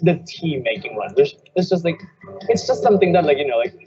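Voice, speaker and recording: Animated teen boy, full of "like". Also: a little high, fairly quick, noisy background. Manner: casual, lots of "like". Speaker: student. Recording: dull lo-fi audio with crowd.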